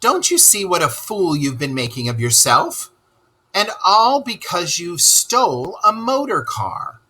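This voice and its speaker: A man voicing a stern, excitable male water rat who scolds emphatically in a children's storybook read-aloud. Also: sits high, a little slow, pitched above the performer's own voice, heavily acted. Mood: scolding.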